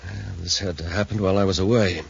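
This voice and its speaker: A deep-voiced, grave scientist. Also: male voice, steady tone, gravelly, slightly rough, exaggerated voice. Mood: troubled, disbelieving. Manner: measured, solemn, formal. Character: grave, troubled male scientist, a learned doctor. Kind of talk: 1950s radio adventure acting. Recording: muffled 1950s radio recording.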